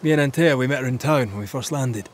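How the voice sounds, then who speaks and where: An actor playing a wry, stubborn Scottish banisher in a video game cutscene. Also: male voice, medium pitch, quick pace, slight background noise, lightly acted. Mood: measured. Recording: loud music behind the voice.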